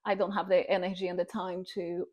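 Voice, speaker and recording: A woman's voice: on the low side, little pitch movement, fairly quick, darkish tone. A woman from Brazil, speaking English with a Brazilian Portuguese accent and a lot of hesitant fillers in a casual chat. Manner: hesitant, with many fillers and restarts. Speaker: guest.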